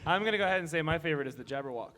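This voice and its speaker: A man's voice: a little high, animated, very fast, a bit bright. A man joking casually as a panelist in a large room, with a wry, teasing manner.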